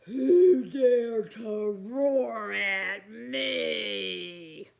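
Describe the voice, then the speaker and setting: Woman acting a loud, drawn-out hairy monster. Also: fairly high pitch, animated, creaky, pitched below the performer's own voice, exaggerated voice. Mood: menacing. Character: huge hairy male monster, fierce but easily horrified. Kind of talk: children's storybook character voice.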